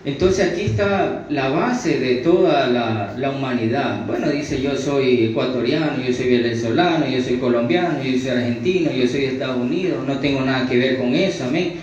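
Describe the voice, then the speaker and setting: A man's voice: medium pitch, darkish tone. A man with a Latin American Spanish accent teaching the Bible in an extemporaneous sermon, repeating himself and punctuating his phrases with amen. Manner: punctuates phrases with amen, repetitive, halting restarts. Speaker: religious teacher, preacher. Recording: very echoey room, distant mic, dull sound, faint noise.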